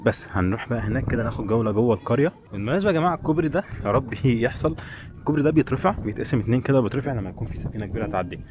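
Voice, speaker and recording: Fast-talking Egyptian man vlogging outdoors. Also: mid-range voice, expressive. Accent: Egyptian Arabic. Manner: chatty, addresses viewers directly. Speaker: travel vlogger. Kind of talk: casual walk-and-talk travel commentary. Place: outdoors, walking in streets and a village. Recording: noisy outdoor street and traffic sound.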